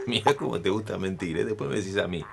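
Un actor con acento rioplatense interpreta a un padre y marido de mediana edad, seco, coloquial y sarcástico, que se pone fácilmente a la defensiva. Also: male voice, lowish voice, lively intonation, brisk, creaky, over music. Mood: sardonic. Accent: Rioplatense Argentine. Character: sardonic, defensive father and husband, quick to bristle. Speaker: actor in an audio fiction. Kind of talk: naturalistic audio drama acting.

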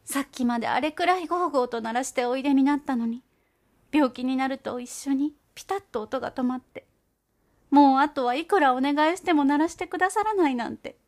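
A woman's voice: high-pitched, a little fast, pitched above the performer's own voice, natural voice. A woman voicing an anxious, humble, and very polite mother field mouse in a storybook reading. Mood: tearful.

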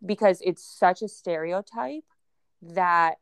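A woman's voice: fairly low pitch, slow, clear, resonant tone, dry recording, clean studio sound. A woman from Ukraine whose first language is Russian, co-hosting a casual podcast chat in an earnest, circling way with many fillers. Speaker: podcast co-host.

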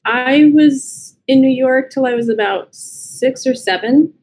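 A woman's voice: high voice, unhurried, a little mellow, slight background noise. A woman in her thirties with an American accent, talking casually and reflectively as an interview guest. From United States and Brazil. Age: 30s. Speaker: podcast guest, writer and editor.

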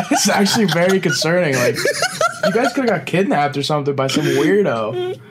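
A man's voice: high voice, huge pitch swings, moderate pace, heavy background noise. A man hosting a podcast over a video call, asking questions eagerly and teasing his guest with laughter. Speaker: podcast host. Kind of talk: casual interview chat.

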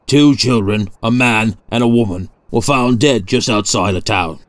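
A man voicing a powerfully built, gruff police constable in a storybook, blunt and colloquial, tense in temper. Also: lowish voice, moderate pace, no room sound, lightly acted. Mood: tense, grim.

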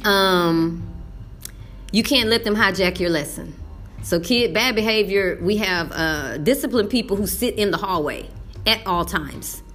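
A woman's voice: mid-range voice, measured pace, a bit bright, faint music behind. A Texan woman teacher talking casually about middle school, folksy and emphatic, slipping into the voices she uses in class. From Texas. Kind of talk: casual talk to camera.

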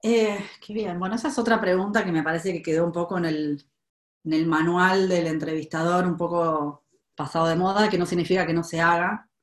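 A woman's voice: on the low side, steady tone, brisk, reverberant. A woman with a Rioplatense Spanish accent giving career advice in a live Q&A, thoughtful, with many hesitations and restarts. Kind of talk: live Q&A webinar talk.

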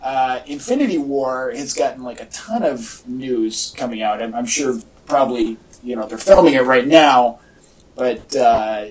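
A man's voice: medium pitch, measured pace. A man hosting a podcast in casual, rambling chat with a wry, joking manner. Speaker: podcast host. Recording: distant mic, echoey in parts.

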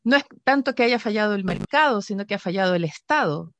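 A woman's voice: medium pitch, lively intonation, fast talker, a bit bright, dry recording. A woman from Ecuador, hosting an interview and asking questions in a conversational way. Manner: conversational, frequent short backchannels. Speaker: interviewer host.

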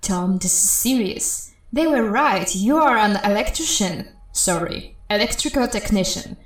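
A woman's voice: medium pitch, lively intonation, measured pace, reverberant, natural voice. A woman playing an urgent, exasperated spaceship systems technician in a farcical audio comedy.

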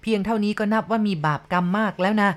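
A woman's voice: lowish voice, brisk, natural voice. A woman voicing a thin, irritable old male shaman in a storybook tale, speaking in stern commands. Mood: pleading.

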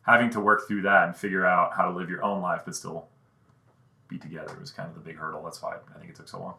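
A man in his late twenties, born in Belgium, a relaxed podcast guest who laughs often and trails off quietly. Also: low-pitched, quick pace, vocal fry. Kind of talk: casual chat.

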